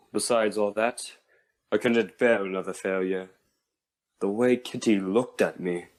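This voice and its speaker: A man playing a proud but dejected Victorian inventor in a comic dub, speaking formally and theatrically. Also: lowish voice. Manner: formal, theatrical, self-pitying.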